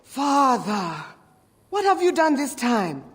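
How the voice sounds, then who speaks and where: A woman's voice: sits high, very animated, slow pace, heavily acted. A woman playing an exasperated but devoted wife and mother, brusque and weary, in a video game cutscene.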